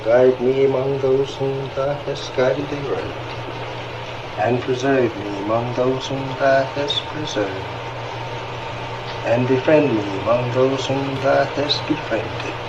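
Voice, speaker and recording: Man slowly reciting a prayer, gravelly, noisy recording w ac hum. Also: mid-range voice, steady tone, muffled recording. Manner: solemn, measured recitation.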